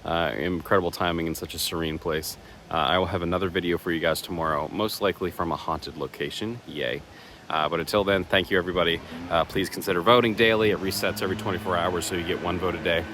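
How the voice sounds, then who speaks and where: A man vlogging casually to camera outdoors, chatty and self-deprecating, with many fillers and a slightly raspy voice. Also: sits low, steady tone, fast, fairly bright tone. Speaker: horror contest vlogger. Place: outdoors in woods. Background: faint leaf blower and vehicle noise.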